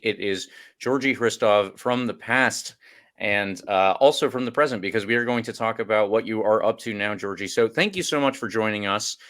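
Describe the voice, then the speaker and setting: A man's voice: fairly low pitch, a bit bright, no echo, studio quality. A man hosting a sports podcast, chatting casually and introducing his guest. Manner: casual, promotes charity shirt before interview. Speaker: podcast host. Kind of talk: podcast interview.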